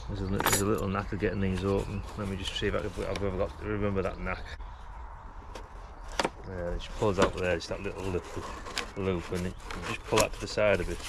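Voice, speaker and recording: A man's voice: low voice, flat delivery, a little fast, long pauses, very noisy. A man giving casual, unscripted hobbyist commentary in a chatty, rambling way, repeating himself as he goes. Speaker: radio hobbyist vlogger.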